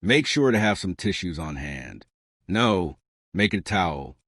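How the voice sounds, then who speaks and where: A man's voice: deep voice, big pitch swings, unhurried, a bit bright, dry recording, clean studio sound. A man hosting a gameplay video, reading a scripted introduction.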